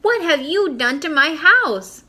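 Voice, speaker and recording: Woman voicing an excitable, bright-voiced young boy. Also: high voice, slow pace, voice pushed up, full character voice. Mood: disgusted, outraged. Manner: exclamatory, calling out. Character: adventurous young boy, excitable and easily dismayed.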